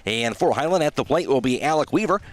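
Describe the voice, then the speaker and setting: A man's voice: medium pitch, expressive, quick pace. A man calling a live baseball game play-by-play as a radio announcer. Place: outdoor ballpark radio broadcast.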